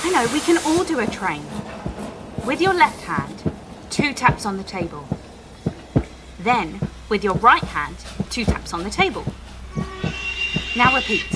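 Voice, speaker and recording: A woman's voice: on the high side, very noisy. A woman playing an eager, bossy helper in a children's audio play, giving listeners chirpy step-by-step instructions. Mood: instructing. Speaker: co-presenter of a participatory audio play.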